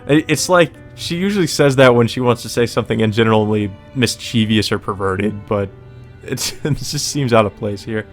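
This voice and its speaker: A man's voice: fairly low pitch, moderate pace. A man reading a visual novel aloud and adding casual commentary of his own as a let's player. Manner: slips into character dialogue, ad-libbed asides. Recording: music behind the voice. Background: game music.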